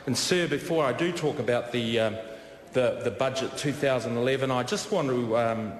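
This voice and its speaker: A man whose first language is Samoan, giving a formal parliamentary speech, addressing the Speaker as sir and pausing often with fillers. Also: mid-range voice. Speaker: member of parliament. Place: parliamentary debating chamber. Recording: echoey chamber with long reverb.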